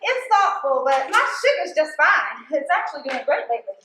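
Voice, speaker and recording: A woman playing an anxious, proud, conflicted post office supervisor in a stage play, expressive and defensive. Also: high-pitched, moderate pace, slight background noise, exaggerated voice. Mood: playfully snippy. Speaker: stage actress. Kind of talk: live stage play acting, conversational dialogue. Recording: echoey theater stage room.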